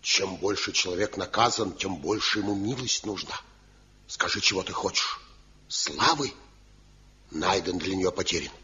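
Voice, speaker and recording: An actor playing a mild village man gently urging mercy in a 1970s radio play. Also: sits low, moderate pace. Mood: gentle. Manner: mild, admonishing, persuasive. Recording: dull lo-fi old radio recording.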